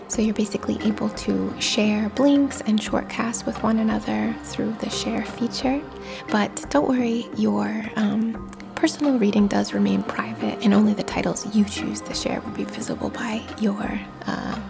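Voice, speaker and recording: Female ASMR host, soft unhurried whisper, mid-pitched with gravelly creak, reading promo over music. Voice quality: breathy whisper. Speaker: video host reading a sponsor message. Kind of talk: whispered ASMR sponsor read.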